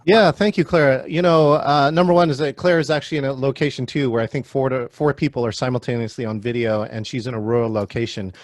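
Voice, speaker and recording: A man's voice: medium pitch, fairly even intonation, fast talker, few pauses. A man hosting an online workshop on a video call, calling on attendees by name in a friendly way. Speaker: virtual meeting trainer.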